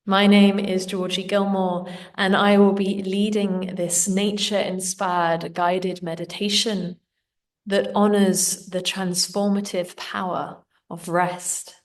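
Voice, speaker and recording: A woman leading a guided meditation in a gentle, soothing, unhurried manner. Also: mid-range voice, little pitch movement, distant mic. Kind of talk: slow guided meditation narration.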